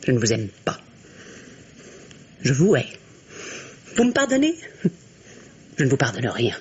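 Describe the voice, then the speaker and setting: Bitter french wife in an old radio play, spiteful n sardonic, muffled sound with faint noise. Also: female voice, a bass voice, huge pitch swings, measured pace, heavily acted. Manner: biting, sardonic, dramatic. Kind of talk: French radio drama acting. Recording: dull, noisy old radio recording.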